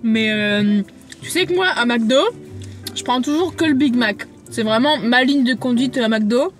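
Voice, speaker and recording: A young Frenchwoman with a high voice chats casually as co-host of a vlog. Her talk is slangy and teasing, recorded in a parked car over faint music. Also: a little slow. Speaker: vlogger's partner, co-host. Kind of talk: casual chat while eating.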